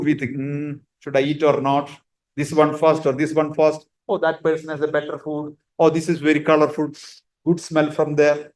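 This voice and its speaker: Casting: middle-aged man, yoga teacher, lectures with halting pauses, slightly high voice. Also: darkish tone. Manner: unhurried, halting phrasing with frequent pauses. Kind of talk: spiritual lecture.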